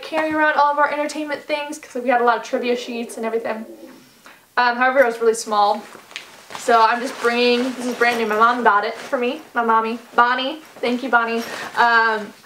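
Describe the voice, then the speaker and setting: A woman's voice: on the high side, fairly even intonation, normal speed, bright, forceful tone, a little hiss or hum, poor audio. A woman in her twenties chatting through a casual packing vlog in a living room, upbeat and full of "like"s and "um"s. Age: 20s. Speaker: vlogger. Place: carpeted living room by a fireplace, indoors.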